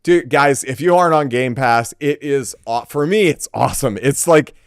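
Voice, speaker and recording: A man's voice: mid-range voice, normal speed, no echo, studio quality. A man co-hosting a casual gaming podcast, speaking informally and emphatically with plenty of slang. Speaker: podcast co-host.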